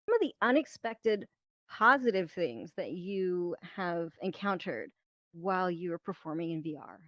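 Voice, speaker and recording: A woman's voice: fairly low pitch, expressive, a little slow, a bit bright, no echo. Woman hosting a panel online, breezy and giggly, kinda muffled close dry audio. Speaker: panel moderator. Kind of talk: casual live panel hosting. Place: virtual reality social app session.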